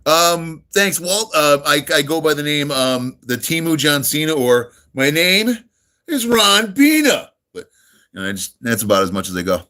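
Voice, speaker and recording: A man's voice: on the high side, sing-song, moderate pace, pushed and bright. A middle-aged man from the United States, near New York, chatting casually on a live stream, greeting viewers and answering their messages in a friendly, rambling way full of ums and uhs. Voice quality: full radio-style voice.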